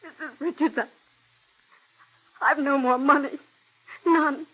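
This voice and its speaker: Pleading starlet, high bright voice, breathless and emotional, old radio play, muffled sound. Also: female voice, fairly even intonation, takes it slowly. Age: young adult. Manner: breathless, pleading, breaks into sobs. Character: young ambitious actress, tearful and pleading. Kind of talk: 1940s radio drama acting. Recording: dull old radio broadcast.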